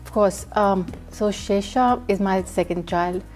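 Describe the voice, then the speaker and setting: A woman in her thirties from Pakistan, a guest talking softly about her life, often pausing with ums. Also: medium pitch, soft background music, low-quality recording. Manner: soft-spoken, warm, frequent ums and mm-hmms. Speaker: guest, entrepreneur. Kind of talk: casual interview.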